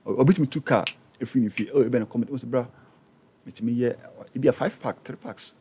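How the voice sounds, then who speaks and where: A Ghanaian man in his forties, a radio guest telling his life story with animated delivery, switching between Twi and English in a studio. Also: medium pitch, fast talker, slight background noise. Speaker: interview guest, business owner. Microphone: studio mic on boom arm.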